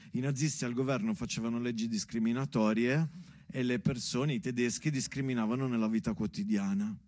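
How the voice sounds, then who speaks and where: A man's voice: medium pitch, a little mellow. A man performing an earnest, emphatic theatrical monologue as a stage narrator, as if speaking to his child.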